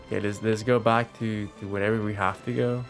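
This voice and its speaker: A man's voice: fairly low pitch, flat delivery, a little fast. A man giving casual, sarcastic play-along game commentary, swearing freely. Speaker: game streamer. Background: video game music.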